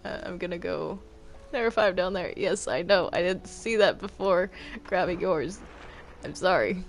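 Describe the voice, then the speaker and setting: A person chatting casually while roleplaying in a video game, with a halting delivery that often trails off. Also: mid-range voice, soft background music. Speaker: Minecraft roleplay player.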